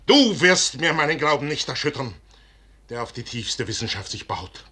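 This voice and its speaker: An actor in a 1950s German radio drama playing a proud, stubborn, visionary general, husky and solemnly declamatory in verse. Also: male voice, medium pitch, sing-song, moderate pace, sharp tone, exaggerated voice. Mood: commanding, defiant.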